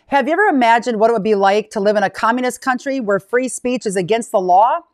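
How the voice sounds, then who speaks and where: A woman's voice: on the high side, fast, dry recording, studio quality. A woman hosting an interview podcast, chatty and upbeat as she introduces and questions her guest. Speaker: podcast host.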